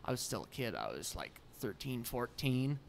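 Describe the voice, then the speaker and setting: A young adult man, a musician guest in a podcast interview, talking earnestly in animated bursts with many restarts, at a table mic in a small studio room. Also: medium pitch, normal speed, creaky, slight background noise. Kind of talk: casual interview chat. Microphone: boom mic on the table.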